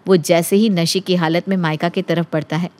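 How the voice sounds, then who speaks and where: A woman narrating a scripted animated story as its storyteller. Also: lowish voice, moderate pace.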